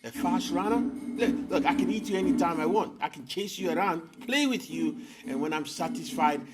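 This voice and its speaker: A man's voice: fairly high pitch, expressive, moderate pace, close to an everyday voice. A man voicing a boastful, eager leopard with a hungry, menacing streak in a children's story. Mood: boastful, threatening.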